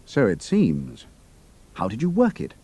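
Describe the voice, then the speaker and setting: Lively, brisk young British airman. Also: mid-range voice, slightly rough, dry recording, full character voice. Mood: clipped, cool. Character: young British flying officer working undercover, brisk and plucky. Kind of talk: audio drama acting.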